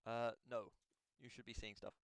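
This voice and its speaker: A man's voice: medium pitch, flat delivery, normal speed, gravelly, no echo. A man hosting a tabletop game stream, setting things up in casual chat with lots of hesitant ums. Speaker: game master and stream host.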